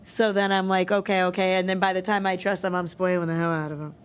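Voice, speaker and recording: A woman's voice: lowish voice, flat delivery, fast, smooth. A woman in her twenties talking casually as a guest on a podcast. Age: 20s.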